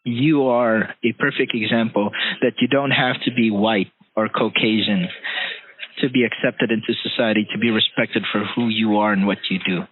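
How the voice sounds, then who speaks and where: A man speaking earnestly and haltingly in a conversation, often pausing to search for his words. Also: fairly low pitch, steady tone, slightly rough. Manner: hesitant, halting delivery. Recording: roomy, tinny phone-like call audio.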